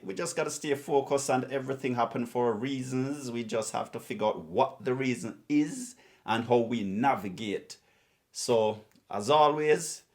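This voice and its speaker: A man hosting a radio show, talking casually and encouragingly to his listeners in a drawn-out way. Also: mid-range voice. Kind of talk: casual radio sign-off talk.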